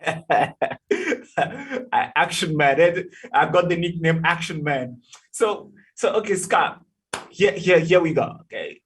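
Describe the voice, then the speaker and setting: A man's voice: on the high side, slow pace, distant mic, a little hiss or hum. A man in his thirties from Togo, a guest telling his life story in an interview with emphatic repeats and checks like "okay?", on a video call from an indoor room. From Togo, West Africa. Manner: animated, emphatic storyteller who repeats words and says "okay?". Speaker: guest, youth advocate.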